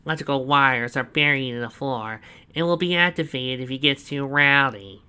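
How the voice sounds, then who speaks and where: A man voicing a scheming male villain who gloats and drawls his words out slowly. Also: medium pitch, pushed and bright, smooth. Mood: gloating.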